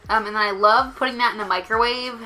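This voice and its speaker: Casual vlogger, young woman, bright forward tone, chatty voice-over full of fillers, soft music underneath. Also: a little high, steady tone, fast. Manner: chatty, filler-heavy with likes and ums.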